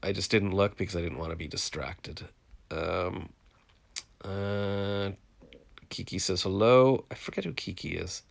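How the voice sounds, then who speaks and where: A man's voice: low voice, measured pace, creaky. Congested man venting on a live stream. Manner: frustrated, venting, rambling. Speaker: vlogger hosting a live stream. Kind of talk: casual rambling chat to viewers. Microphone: phone, live stream from home.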